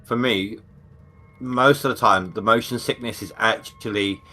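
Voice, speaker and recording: A man's voice: fairly low pitch, fairly even intonation, a little slow, pushed and bright, soft background music. A man hosting a game stream, chatting casually and explaining things to friends. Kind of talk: casual chat.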